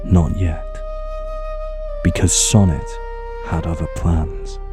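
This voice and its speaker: Deep, slow solemn male narrator. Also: little pitch movement. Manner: solemn, measured storyteller. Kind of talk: scripted audio drama narration. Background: music underneath.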